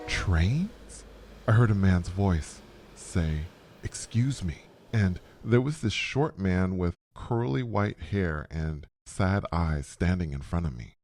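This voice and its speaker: A man's voice: low voice, a little mellow. A man narrating a suspenseful first-person story in short, dramatic phrases, as a scripted storyteller.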